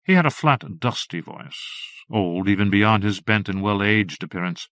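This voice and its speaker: A man narrating a period horror story in a measured, formal manner. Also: on the low side, lively intonation, fairly bright tone, no room sound, clean studio sound. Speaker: audiobook narrator.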